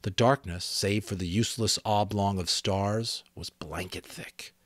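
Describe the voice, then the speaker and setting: A man's voice: lowish voice, fairly even intonation, a little slow, fairly bright tone, no room sound. A man narrating an audiobook in a dramatic, literary storytelling style.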